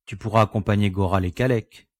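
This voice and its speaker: An actor playing an easygoing, practical spaceship pilot in an audio sci-fi drama, speaking in a relaxed, reasonable way. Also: male voice, fairly low pitch, brisk, dry recording, studio quality, natural voice. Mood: agreeable.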